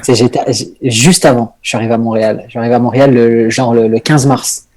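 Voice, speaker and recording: A man's voice: medium pitch, fairly even intonation, quick pace, darkish tone. A young man from northern France, a comedian guest chatting casually on a podcast, hesitant with many fillers and restarts.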